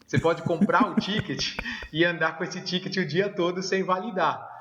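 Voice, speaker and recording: A man's voice: high-pitched, moderate pace, noisy background. A man co-hosting a podcast, handing out cheeky travel tips in a laid-back, teasing way. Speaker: podcast co-host. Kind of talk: casual advice chat. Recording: roomy, dull sound.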